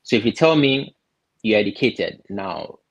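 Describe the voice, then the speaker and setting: A man from Ghana giving casual step-by-step advice, often pausing and repeating words as he thinks. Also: lowish voice, animated, gravelly, breathy edge, dull audio. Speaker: guest explaining visa steps.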